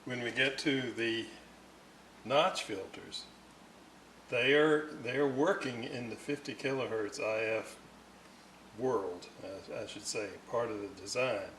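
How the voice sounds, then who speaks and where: A man giving an unscripted, hands-on technical explanation in a methodical, halting way, often searching for words. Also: medium pitch, fairly bright tone, slight background noise, poor audio. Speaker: radio restorer presenting repair videos.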